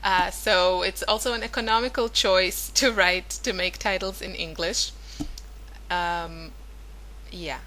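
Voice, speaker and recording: Woman, 30s, native Hebrew speaker; casual rambling crafting narration with a bright, slightly low voice. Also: steady tone, slow, a little hiss or hum. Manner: chatty, rambling, many fillers and self-corrections. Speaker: scrapbooking vlogger.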